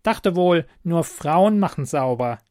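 A man's voice: fairly high pitch, animated, slow pace, darkish tone, dry recording, clean studio sound, pitched above the performer's own voice. A man voicing a small, grumpy green male house goblin who talks in a scornful, snappish way. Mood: grumbling.

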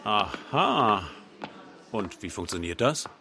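A man's voice: on the low side, sing-song, slow pace, gravelly, sharp tone, slight background noise, heavily acted. An actor playing a pompous, cruel medieval king with imperious, archaic speech in a comic audio drama. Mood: suspicious, haughty. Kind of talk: amateur comedy audio drama.

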